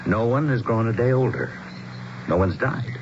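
An actor playing a grave, courteous American small-town mayor in a radio drama, speaking in a measured, dramatic storytelling way. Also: male voice, medium pitch, expressive, close to an everyday voice. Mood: earnest, mysterious. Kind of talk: 1970s radio drama acting. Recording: dull, noisy old radio broadcast.

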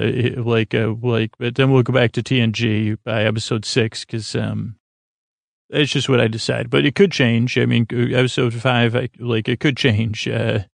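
A man hosting a bedtime podcast in a meandering, halting monologue, often stammering and correcting himself, with a gravelly, creaky voice. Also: mid-range voice, no echo, studio quality. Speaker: podcast host. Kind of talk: rambling bedtime-story monologue to help listeners sleep.

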